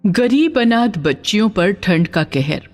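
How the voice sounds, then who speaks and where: Female story narrator: expressive, emphatic, very lively intonation, faint music under dramatic scripted tale. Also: mid-range voice, measured pace.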